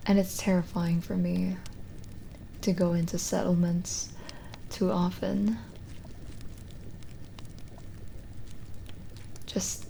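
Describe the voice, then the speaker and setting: A woman playing a lonely, gentle witch in an ASMR roleplay, speaking softly and intimately, often in a whisper, with halting pauses. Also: on the low side, slight background noise, full character voice, rough recording. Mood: lonely, vulnerable. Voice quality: soft, breathy, often whispered. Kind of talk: ASMR roleplay, slow soothing scripted acting.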